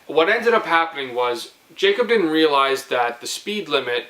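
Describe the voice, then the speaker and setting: A young man hosting a vlog, telling a story casually in a jokey, animated, teasing way. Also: on the high side, moderate pace, poor audio. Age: young adult.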